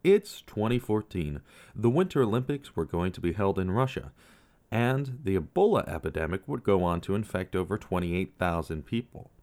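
A man narrating a scripted true-crime podcast as its host, with measured storytelling and dramatic pauses. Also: low voice, lively intonation, a little mellow.

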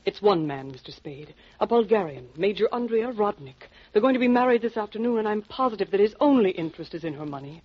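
Casting: worried society widow, husky and pleading, vintage radio mystery, muffled recording. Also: female voice, mid-range voice, lively intonation, fast talker, few pauses. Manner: earnest, breathlessly dramatic. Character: anxious, genteel widowed female client pleading for help. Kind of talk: 1940s radio detective acting.

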